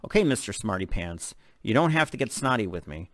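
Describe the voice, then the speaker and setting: A man's voice: fairly low pitch, sing-song, fairly quick, no room sound. Audiobook mother, read by a man: doting, naggy, teasing, very dry recording. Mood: aggravated.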